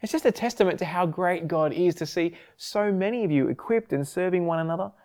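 A man's voice: high-pitched, a little fast, a little mellow. A man who pastors a church, addressing his congregation and thanking them earnestly.

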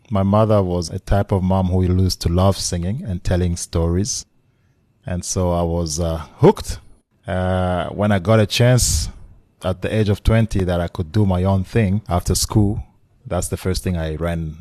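A man's voice: low-pitched, moderate pace, mellow, no echo, studio quality. A man in his forties from the Congo, a musician guest speaking remotely in a reflective, storytelling way. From Democratic Republic of the Congo. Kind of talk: casual remote interview. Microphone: remote call.

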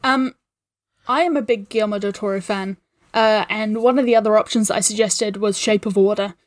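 A woman's voice: medium pitch, steady tone, brisk, no room sound. A woman guesting on a casual film discussion podcast, giving appreciative, opinionated commentary.

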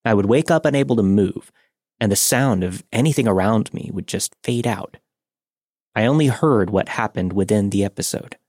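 Man, fairly low voice, podcast narrator, scripted horror storytelling, dry recording. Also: normal speed, darkish tone, no room sound.

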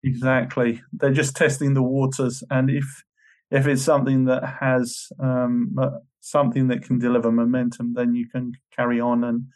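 A man hosts a podcast interview, asking questions and giving brief, encouraging replies. His pitch stays level and even. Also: moderate pace.

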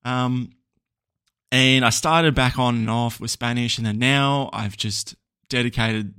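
A man's voice: mid-range voice, fairly even intonation, a little slow, pushed and bright, clean tone, no room sound, studio quality. A young Australian man hosting a casual solo podcast, rambling and chatty with lots of ums and small laughs. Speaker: podcast host.

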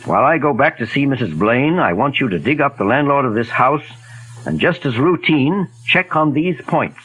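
Actor as courteous radio detective, lively intonation. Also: male voice, medium pitch, lightly acted, poor audio. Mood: polite, measured. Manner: polite, measured, inquisitive. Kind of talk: 1940s radio detective drama acting. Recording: old 1940s radio recording.

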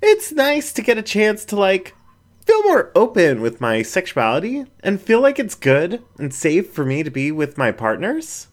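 A shy young raccoon woman, voiced by a male narrator, speaking high and lifted and tripping over her words. She is flustered and open at once, in a storybook reading. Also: wildly swooping pitch, unhurried, heavily acted. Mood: bashful. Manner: hesitant, shy, trails off. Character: bashful, blushing young female raccoon.

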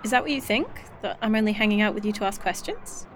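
A woman's voice: mid-range voice, quick pace, faint music behind, close to an everyday voice. A woman playing a blunt, wisecracking 1980s woman. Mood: earnest. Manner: blunt, joking, inquisitive. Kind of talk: indie audio drama acting.

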